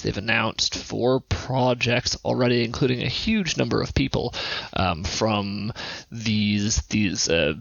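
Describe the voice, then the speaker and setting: Male podcast host, average pitch, conversational, muffled lo-fi sound with no room echo. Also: vocal fry, fairly bright tone. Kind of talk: casual news rundown and discussion. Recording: dull, dry lo-fi microphone.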